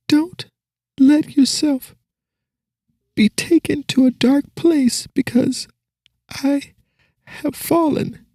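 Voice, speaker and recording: A man voicing a wounded young girl, wise beyond her age, with a thin, fading voice and slow, solemn, labored delivery in an audiobook story. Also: very high-pitched, steady tone, mellow, dry recording, clean studio sound, voice pushed up. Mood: pained, solemn.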